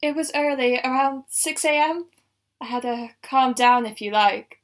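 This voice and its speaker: A woman's voice: sits high, steady tone, unhurried. A woman voicing an emotional villager who found a body, rambling and tearful in an amateur audio drama. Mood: upset.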